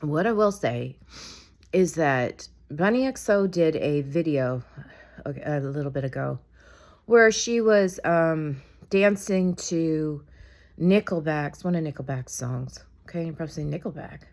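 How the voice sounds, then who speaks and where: A woman whose voice is rough and raspy from a cold, with a gravelly edge and a somewhat low pitch. She rambles casually to the camera, opinionated and full of asides about celebrity gossip. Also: lively intonation, measured pace, darkish tone. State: sick, raspy from a cold, coughing. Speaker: vlogger.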